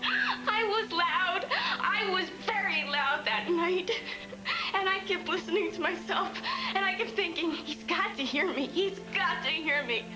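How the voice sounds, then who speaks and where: A tearful young woman, very high voice. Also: expressive, unhurried, loud music behind the voice, poor audio. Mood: furious, tearful. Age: 20s. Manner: raw, tearful, swinging between hushed and shouting. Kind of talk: TV drama acting.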